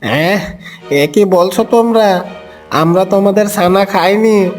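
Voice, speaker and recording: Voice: medium pitch, slow pace, soft background music, natural voice. A performer voicing a hungry, scheming fox in a cartoon story, with an urging, wheedling manner. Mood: indignant.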